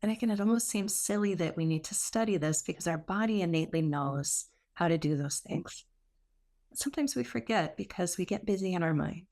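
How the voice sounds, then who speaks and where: A woman teaching meditation, giving gentle, soothing spoken instruction with unforced phrasing and long pauses. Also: lowish voice.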